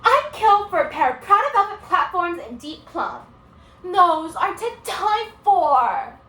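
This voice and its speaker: Casting: woman as sassy unruly schoolgirl for solo stage drama, very lively high voice, defiant and flippant, echoey room. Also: unhurried, full character voice. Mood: preening. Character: brash, slangy, unruly young female student. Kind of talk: solo dramatic interpretation performance.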